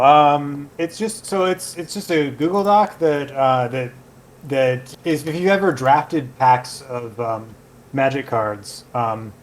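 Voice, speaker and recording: A man's voice: medium pitch, slight background noise. A man guesting on a podcast, explaining something in casual chat, hesitant and trailing off as he searches for words. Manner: hesitant, many fillers and restarts, trails off.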